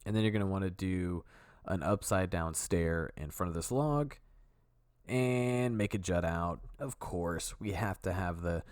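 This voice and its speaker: A man's voice: deep voice, a little slow, a little mellow, no room sound, studio quality. A man with a cold, sniffling a little, walking through a build step by step in an easygoing, rambling way that corrects itself as he goes. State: sick, sniffly. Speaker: video tutorial host. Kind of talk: casual voice-over walkthrough.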